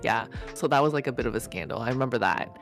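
A young woman with a notably low voice talks casually on a podcast, telling stories in an easy, relaxed way. Faint music plays under her voice. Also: quick pace. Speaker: podcast co-host. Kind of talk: casual chat.

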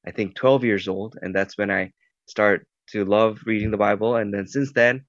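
A Korean man answering interview questions thoughtfully, pausing often with fillers. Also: fairly low pitch, steady tone, a bit bright, dry recording, lo-fi sound. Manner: thoughtful, many fillers and restarts. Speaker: guest.

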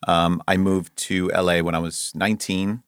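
A man's voice: low voice, flat delivery, normal speed, clean tone, no room sound. A middle-aged man from Denmark, a network executive, chatting as a podcast guest in a laid-back way with dry humor. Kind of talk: casual interview conversation.

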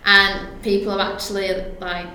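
A woman's voice: medium pitch, flat delivery, pushed and bright, a little hiss or hum. A woman, a guest speaking about wording, talking in a chatty way full of fillers and restarts. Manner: chatty, lots of fillers and restarts, quick to laugh. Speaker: guest copywriter. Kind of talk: casual podcast interview. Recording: very echoey room.

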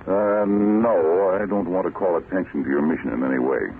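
Deep gravelly commanding army general. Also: male voice. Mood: grave, deliberate. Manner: deliberate, authoritative, pausing. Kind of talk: 1940s radio acting. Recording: muffled, hissy vintage radio recording.

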